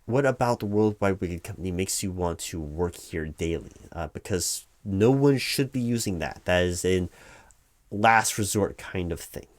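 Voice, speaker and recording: A man's voice: low-pitched, expressive, normal speed. A man playing a distracted, evasive corporate hiring manager in a one-sided comedic interview roleplay, stammering and rambling officiously. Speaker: performer playing a job interviewer.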